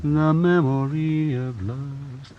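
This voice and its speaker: A man singing a slow old standard ballad in a crooning style. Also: medium pitch, fairly even intonation. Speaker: singer. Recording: dull vintage music recording with guitar.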